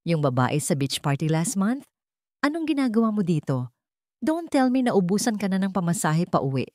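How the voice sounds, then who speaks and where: A woman's voice: mid-range voice, sing-song, normal speed, a little mellow, dry recording, close to an everyday voice. Lady narrator doing a cocky rich filipino party boy, mocking, talks down to people, dry audio drama. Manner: taunting, condescending. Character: arrogant, mocking young rich playboy man.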